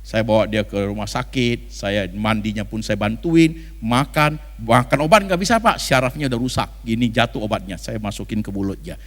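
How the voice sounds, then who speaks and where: A man's voice: lowish voice, normal speed, few pauses, pitched below the performer's own voice, natural voice. A man voicing an aggrieved older wife who confides her long suffering plaintively and bitterly. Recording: reverberant hall sound.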